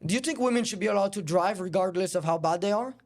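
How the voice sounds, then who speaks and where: A man's voice: high voice, fast. A man hosting a casual debate, asking needling questions in a hesitant, stop-and-start way. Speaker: host, debater. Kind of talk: confrontational casual debate interview.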